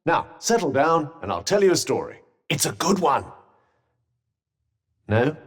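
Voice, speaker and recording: A sardonic British magical cuff, a pompous male video game companion. His fairly high voice rises and falls expressively over formal, droll phrasing. A long reverberant tail hangs on every line. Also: sing-song, a little slow, breathy edge, full character voice. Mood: calm, advisory.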